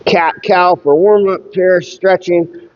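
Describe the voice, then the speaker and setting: Stuffed-up male fitness streamer, slow and halting, muffled echoing audio. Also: high-pitched, steady tone, darkish tone. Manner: rambling, halting, with many fillers. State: congested, stuffed up. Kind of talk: casual livestream chat. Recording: muffled sound with long reverb.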